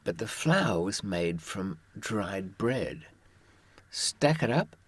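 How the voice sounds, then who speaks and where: Male raconteur, very low and rough-edged husky voice, dry close sound, wry and understated, sharing hard-won advice informally. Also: very animated, slow, no echo. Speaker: author and former prisoner giving advice. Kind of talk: informal first-person storytelling to listeners.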